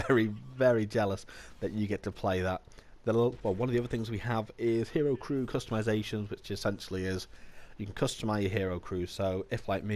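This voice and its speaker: Man, nasal from a cold, lowish voice, chatty and relaxed gaming host. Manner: chatty and relaxed, with small laughs and restarts. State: has a bad cold, slightly nasal. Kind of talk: casual video update.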